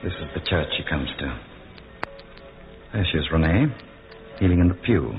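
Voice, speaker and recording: A man playing a scheming, smooth-talking lawyer with an insinuating, persuasive manner, in 1940s radio drama style. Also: low voice, animated, moderate pace, over music. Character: scheming, smooth-talking lawyer plotting against his brother. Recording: muffled, tinny, noisy old radio recording.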